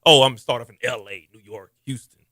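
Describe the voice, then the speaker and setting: A young man from Texas hosting a podcast, talking loosely and eagerly, often getting loud. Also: medium pitch, brisk, dry recording, studio quality. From Missouri City, Texas. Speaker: podcast host. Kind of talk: casual interview talk. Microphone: podcast studio mic.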